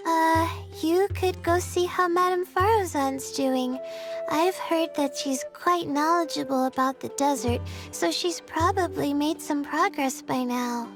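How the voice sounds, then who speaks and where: A woman's voice: high voice, measured pace, music in the background. A woman voicing an anxious, modest young astrology student in an anime-style video game, speaking in a hesitant, earnest way. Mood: polite, relieved. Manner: hesitant, earnest, soft-spoken.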